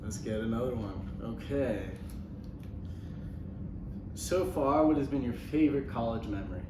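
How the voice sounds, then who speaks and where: A man's voice: mid-range voice, measured pace. A young man hosting a casual podcast interview, joking and slangy in a laid-back way. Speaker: podcast host. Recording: roomy, distant mic with noise.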